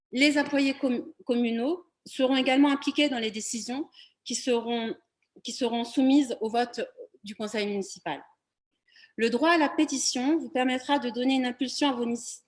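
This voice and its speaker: High-pitched woman giving a prepared political presentation. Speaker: municipal election candidate. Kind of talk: prepared speech at public meeting.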